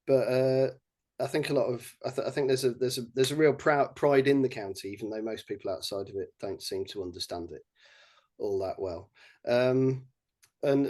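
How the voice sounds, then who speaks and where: A man with an English accent chatting as a podcast guest about his research project, talking haltingly with many fillers and restarts. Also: mid-range voice, darkish tone. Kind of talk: casual podcast chat.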